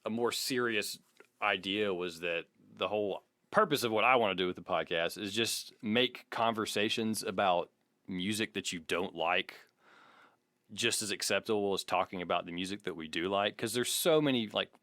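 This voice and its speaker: A man's voice: lowish voice, normal speed, sharp tone, no echo, studio quality. A man co-hosting a podcast in casual, conversational talk. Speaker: podcast co-host.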